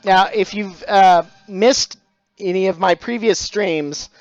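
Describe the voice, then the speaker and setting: A man's voice: high-pitched, slow, lo-fi sound, faint music behind. A middle-aged man, chatting casually on a live stream about his craft, full of dad jokes and thanks to followers. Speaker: stained glass streamer.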